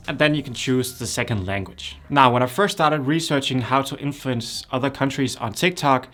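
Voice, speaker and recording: A young man speaking English, his voice sitting at an ordinary middle pitch. He explains things plainly and step by step, like a creator running a how-to video for his channel. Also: fairly bright tone. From Denmark. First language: Danish. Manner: plain, step-by-step instructional delivery. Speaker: YouTube creator. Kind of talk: tutorial explainer.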